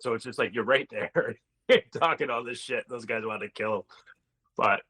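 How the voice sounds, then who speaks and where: A man's voice: mid-range voice, normal speed, sharp tone, husky. A middle-aged man, a former hockey player, telling rambling stories in a casual interview and swearing now and then.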